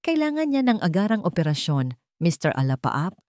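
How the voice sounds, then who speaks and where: A woman's voice: lowish voice, very animated, a little fast, a little mellow, no echo, studio quality. A woman voicing a serious hospital doctor, formal and matter-of-fact. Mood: urgent.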